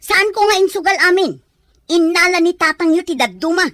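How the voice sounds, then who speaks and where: A woman playing a sharp-tongued, exasperated wife and mother in an Ilocano radio drama, speaking with an Ilocano accent. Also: extremely high pitch, expressive, normal speed, dry recording, studio quality.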